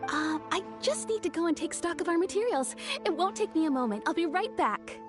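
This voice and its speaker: An actress playing an eager, polite and helpful shopkeeper in a fantasy video game. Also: female voice, extremely high pitch, expressive, a little fast. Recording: game music behind the voice.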